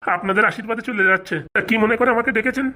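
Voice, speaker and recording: A woman's voice: fairly high pitch, very fast, pushed and bright. A woman playing a fussy, muddled male astrologer priest in a comic cartoon, muttering and repeating himself. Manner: muttering, repetitive, easily muddled.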